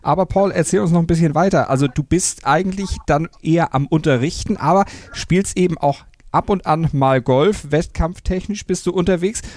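A man's voice: on the high side, moderate pace, hardly any pauses, darkish tone, no room sound. A man hosting a sports podcast, asking fluent, conversational interview questions.

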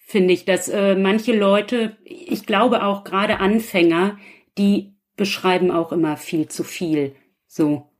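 Slow-talking woman in casual chat. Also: medium pitch, fairly even intonation. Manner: conversational, seeks agreement with tag questions. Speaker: podcast participant, author.

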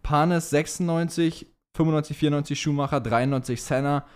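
A man's voice: fairly high pitch, steady tone, brisk, smooth. A young man co-hosting a podcast, chatting casually in slangy, teasing banter. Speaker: podcast co-host.

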